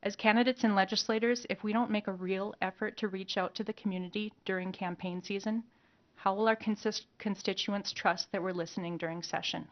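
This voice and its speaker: A woman's voice: medium pitch, fairly even intonation, a little fast. A woman running for office, giving a prepared, purposeful opening statement at a forum. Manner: purposeful, polished. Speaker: political candidate. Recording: dull lo-fi broadcast audio.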